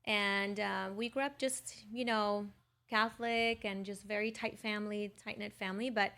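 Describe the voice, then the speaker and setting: Female podcast guest, middle-aged, from Lebanon, average pitch, conversational storyteller. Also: fairly even intonation, normal speed, a bit bright, smooth. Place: podcast studio.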